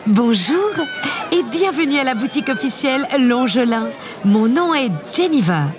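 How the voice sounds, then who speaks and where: A woman's voice: high-pitched, big pitch swings, moderate pace, roomy sound, over music, a crowd in the background. An actress in an audio drama playing a gracious but stingy woman president with a theatrical, sugary, declamatory manner. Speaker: president giving a public speech.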